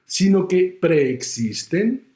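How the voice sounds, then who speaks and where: Spanish guy lecturing real slow and careful, echoey room. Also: high voice, big pitch swings, mellow. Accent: Spanish from Spain. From Spain. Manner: measured, explanatory, uses everyday examples. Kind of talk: recorded university lecture.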